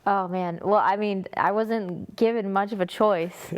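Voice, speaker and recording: A woman's voice: medium pitch, fairly even intonation, measured pace. A young woman with a North American accent, telling a personal testimony as an interview guest in an earnest, conversational way. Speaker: guest, music ministry singer.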